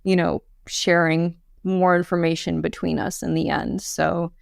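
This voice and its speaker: A woman's voice: fairly low pitch, little pitch movement, unhurried, gravelly, dry recording, clean studio sound. A woman in her thirties, a podcast guest, talking candidly and chattily with many fillers. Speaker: podcast guest, quantum computing founder. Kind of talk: casual interview chat.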